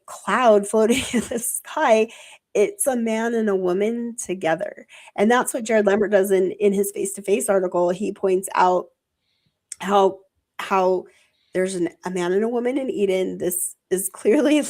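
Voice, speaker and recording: A woman's voice: medium pitch, darkish tone. A woman who is sick and losing her voice talks in a casual, rambling way about religious ideas on a livestream, with many fillers. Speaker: co-host.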